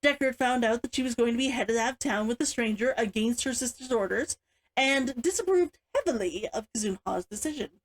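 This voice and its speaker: A woman with a chirpy, expressive manner, speaking as an author hosting her own story read-along. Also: on the high side, brisk, low-quality recording. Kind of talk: animated chat and story read-aloud.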